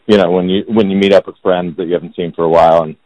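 A man in his thirties from Indianapolis, a real estate agent talking about himself as a podcast guest in a monotone, self-deprecating way. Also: sits low, racing, no echo. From Indianapolis, United States. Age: 30s. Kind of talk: casual podcast interview. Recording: dull, lo-fi sound.

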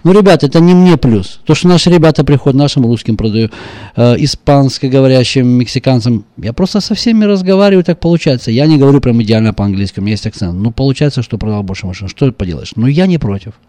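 A middle-aged man chats in a radio studio as a guest. He rattles off joking, boastful stories at a rapid clip. His voice sounds dry and close, with no room echo. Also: medium pitch, animated, dark tone. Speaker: car salesman guest. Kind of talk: casual radio chat.

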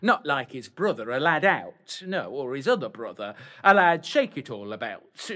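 An actor playing an old man who puts on the voice of a brash pantomime dame, shrill and sing-song, calling out to the children in the audience. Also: sing-song, normal speed, fairly bright tone. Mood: hammy.

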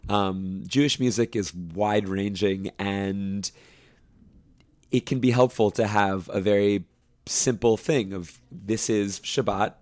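Young guy with a lowish voice, chatty and reflective, lots of ums. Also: fairly even intonation, takes it slowly, a little mellow. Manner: thoughtful, explains at length with fillers. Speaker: camp choir director. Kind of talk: conversational interview.

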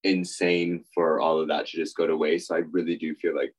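A man with a low voice speaking sincerely and affirming what he says. He sounds earnest as he shares his thoughts. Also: flat delivery, brisk.

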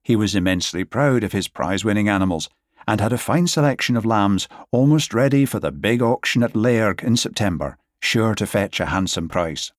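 Low-pitched man narrating an audiobook. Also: normal speed, no echo, clean studio sound. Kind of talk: scripted literary narration.

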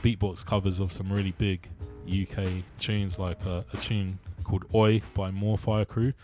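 A man in casual conversation about music, talking in a relaxed, hesitant way with lots of ums. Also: sits low, normal speed. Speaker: musician guest. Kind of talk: casual chat.

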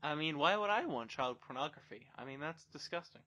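A young man in casual banter, joking and swearing, with many fillers when he slows down. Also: on the high side, animated, sharp tone, muffled recording. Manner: joking, swears often, mumbles with fillers when he turns earnest.

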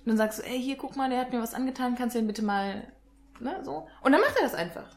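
A woman's voice: on the high side, animated, fast talker. A woman in her twenties co-hosting a podcast in casual chat, enthusiastic and laughing often. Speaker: podcast co-host.

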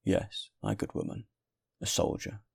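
A man's voice: unhurried, darkish tone, lightly acted. A man playing a weary, bitter former soldier, harsh and curt, in an audiobook story.

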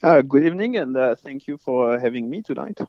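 A man with a Swiss French accent answers casually as a guest. He pauses often on fillers. The sound is dull and lo-fi, with a dry, close feel. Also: medium pitch, expressive, dry recording. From French-speaking Switzerland. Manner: hesitant, many fillers. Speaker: guest forensic scientist. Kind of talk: casual interview.

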